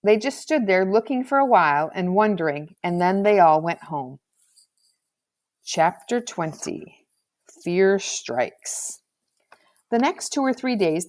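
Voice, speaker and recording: A woman reading a children's novel aloud as scripted narration, in an expressive storybook manner. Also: lowish voice. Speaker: audiobook reader.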